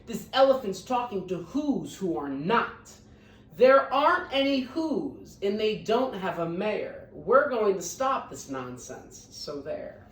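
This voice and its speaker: A woman voicing rowdy, bullying storybook monkey brothers with a scornful, shouting manner. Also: mid-range voice, big pitch swings, slow. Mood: jeering. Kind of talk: storybook read-aloud. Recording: roomy echo, distant microphone.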